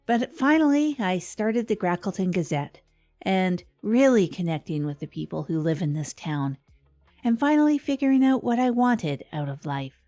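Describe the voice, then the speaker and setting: A woman plays a wry small-town radio host in a scripted comedy show. She rambles hesitantly through self-deprecating asides, her pitch lively, with music around her voice. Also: moderate pace, lightly acted. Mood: wry, reflective.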